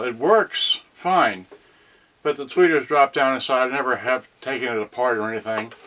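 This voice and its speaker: A man's voice: medium pitch, a bit bright. Rambling man over noisy background. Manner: muttering asides to himself, scattered, folksy. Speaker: host of a home unboxing video about cassette radios. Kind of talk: rambling unscripted livestream chat. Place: cramped indoor space.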